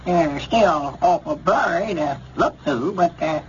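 Gullible old country storekeeper, high voice, rural Southern drawl, rambling, folksy, muffled old radio comedy. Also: male voice, slow pace. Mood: stubborn, complaining. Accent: rural American South. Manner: rambling, folksy, repeats himself. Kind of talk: 1930s radio comedy acting. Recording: dull, noisy 1930s radio recording.

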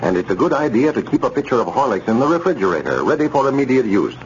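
A man's voice: medium pitch, big pitch swings, fast talker. A man working as a 1930s radio announcer, reading scripted narration and a sponsor's advertisement. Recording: muffled, noisy 1930s radio recording.

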